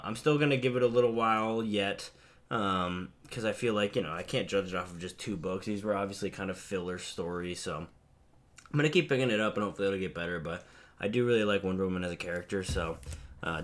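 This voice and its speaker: Hoarse man with a head cold. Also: fairly low pitch. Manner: rambling, many fillers. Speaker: comic book channel host. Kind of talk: casual haul video talk.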